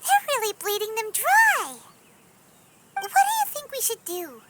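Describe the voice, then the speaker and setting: A woman voicing a small, curious and outspoken childlike companion girl in a video game, with a squeaky voice. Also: wildly swooping pitch, unhurried, heavy background noise, full character voice. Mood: curious, concerned. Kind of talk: video game cutscene acting. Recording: loud music behind the voice.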